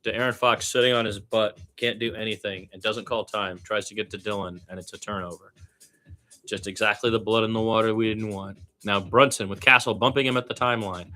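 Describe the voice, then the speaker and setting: A man calling basketball as a partisan radio play-by-play announcer, blunt and opinionated, animated in his delivery and repeating phrases for emphasis. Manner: animated, swinging from low asides to loud high calls.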